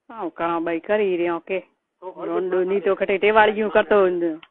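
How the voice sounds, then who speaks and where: A woman's voice: on the low side, steady tone, normal speed, no echo. A woman with a Marwari accent chatting casually on a private phone call in a blunt, gossipy way. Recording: tinny, narrow phone-call recording.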